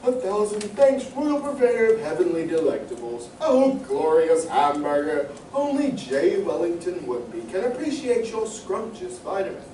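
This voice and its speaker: A man's voice: high voice, big pitch swings, normal speed, hardly any pauses, dark tone. A man playing a pompous, gluttonous cartoon moocher who declaims in flowery, grandiloquent fashion for an old-style radio comedy. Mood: rapturous. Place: playhouse stage before a live audience. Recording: echoey hall from far off, faint noise.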